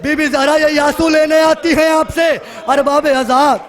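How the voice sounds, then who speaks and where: A man's voice: very high voice, flat delivery. A man delivering an emotional mourning sermon, telling a sacred story in an impassioned, lamenting and dramatic way. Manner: impassioned, lamenting, acting out dialogue within narration. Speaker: religious orator leading a mourning gathering.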